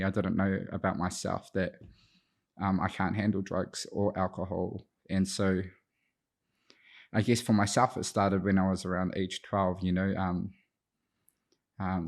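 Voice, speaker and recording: A man in his thirties with a New Zealand accent who grew up speaking Te Reo Māori, reflectively telling his personal story as an interview guest, with some hesitation. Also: low-pitched. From Auckland, New Zealand. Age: 30s. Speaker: podcast guest, addiction counsellor.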